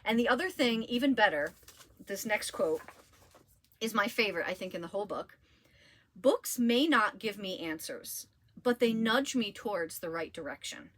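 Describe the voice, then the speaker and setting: A woman's voice: medium pitch, lively intonation, normal speed, fairly bright tone. A woman giving casual, chatty recommendations like a librarian, with an enthusiastic, gushing and emphatic way of talking. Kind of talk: casual, chatty book review.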